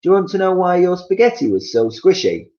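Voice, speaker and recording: A man's voice: high voice, very animated, fairly quick, mellow, muffled recording, pitched above the performer's own voice. Man putting on a shrieking, spiteful old wife. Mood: spiteful gleeful. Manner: screaming, gleeful, exclamatory. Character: screechy, spiteful, panicky old wife in a children's storybook.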